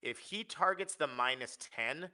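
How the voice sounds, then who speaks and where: A man's voice: fairly high pitch, expressive, unhurried, pushed and bright. A man giving live play-by-play commentary on a card game tournament, analytical and often restarting his phrases.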